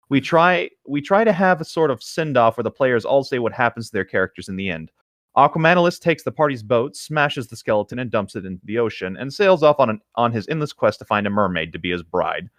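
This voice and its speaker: A man's voice: mid-range voice, no echo, studio quality. A man casually reading a tabletop gaming story aloud as a host, in an informal, slightly exasperated way.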